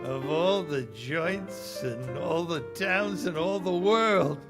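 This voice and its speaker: An actor playing a bitter, heartbroken American cafe owner in 1940s radio drama style, sardonic, slangy and clipped. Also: male voice, a little high, slow, creaky. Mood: brooding. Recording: live stage radio play with music.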